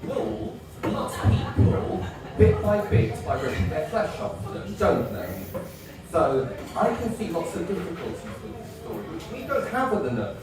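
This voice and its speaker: A man's voice: high-pitched, wildly swooping pitch, moderate pace, over music, exaggerated voice. A man playing a childlike, naive mummy's boy who hosts a kids' story time with earnest rambling and many hesitations, on a live comedy stage. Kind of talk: live stand-up character comedy. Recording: echoey live venue from a distance, noisy.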